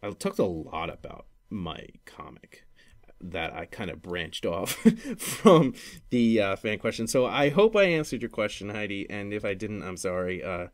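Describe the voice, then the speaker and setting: A man hosting a solo podcast, talking casually in a rambling, halting way and often correcting himself. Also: on the low side, lively intonation. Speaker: podcast host.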